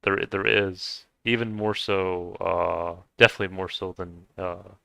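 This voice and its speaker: A man's voice: sits low, level tone, measured pace, vocal fry, sharp tone, dry recording. A young man talking in a casual, hesitant monologue with many fillers and restarts. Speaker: vlogger.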